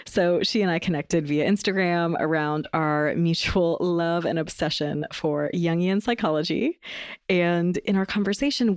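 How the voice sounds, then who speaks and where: An enthusiastic woman hosts her podcast in a lowish voice, full of praise and excitement. The sound is dry and close, with no room around it. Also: dry recording. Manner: enthusiastic, effusive. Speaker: podcast host. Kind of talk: interview podcast.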